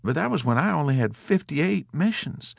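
A man's voice: on the high side, very animated, normal speed, gravelly, dry recording, clean studio sound, pitched above the performer's own voice, exaggerated voice. A man voicing a self-important, stubborn airman in an audiobook, smug yet easily alarmed.

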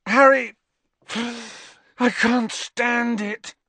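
A man voicing a dazed, lovestruck teenage boy in an audiobook, breathy and strained, gushing and overwrought. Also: high voice, animated, slow pace, a bit bright, no room sound, voice pushed up, exaggerated voice. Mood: desperate. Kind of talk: audiobook character voice.

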